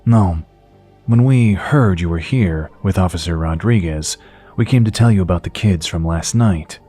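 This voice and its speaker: An actor playing a grave, authoritative FBI agent in an audio drama. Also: male voice, low-pitched, moderate pace, dark tone, breathy edge, faint music behind. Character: firm, official male FBI agent.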